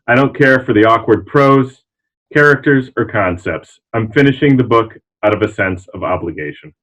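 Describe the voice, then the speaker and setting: A man's voice: medium pitch, normal speed, a bit bright. A man speaking casually and reading aloud, on slightly dull, lo-fi audio. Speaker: librarian reading book reviews aloud.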